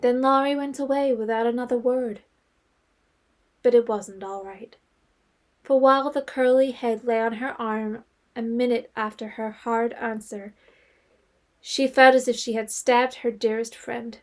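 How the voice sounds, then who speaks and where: High-pitched woman narrating a story. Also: fairly even intonation, measured pace. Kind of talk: scripted storybook narration for audio drama.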